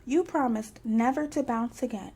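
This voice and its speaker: A woman's voice: fairly high pitch, moderate pace, mellow, husky. A woman voicing a fussy, anxious, bossy male rabbit in a children's storybook, emphatic and insistent. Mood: stern.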